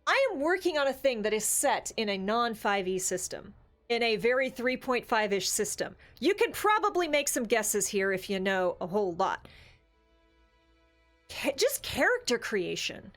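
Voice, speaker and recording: A high-pitched woman talks casually as a podcast co-host about tabletop gaming. She is animated and opinionated, as if thinking out loud among friends. Faint music plays behind her. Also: moderate pace.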